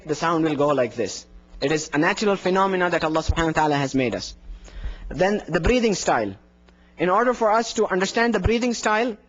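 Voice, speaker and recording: A young man leads an indoor workshop, explaining technique step by step and demonstrating with his voice. His voice sits high for a man. He speaks into a handheld microphone on a dull, lo-fi video recording. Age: young adult. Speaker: adhan instructor. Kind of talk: workshop lecture. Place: indoor room in front of a plain wall. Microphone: handheld microphone plus lapel mic.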